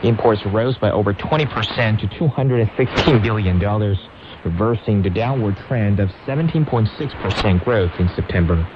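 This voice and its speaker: Low-voiced male newsreader through shortwave static. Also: normal speed. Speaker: news anchor. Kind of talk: scripted radio news reading. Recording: noisy, dull shortwave radio reception.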